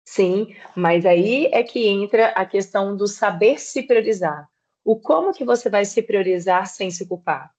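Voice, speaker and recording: A woman in her thirties from southeastern Brazil, a podcast guest explaining her work in a measured way. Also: medium pitch, lively intonation, a little mellow. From Espírito Santo, Brazil. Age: 30s. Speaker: guest, personal trainer. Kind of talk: podcast interview.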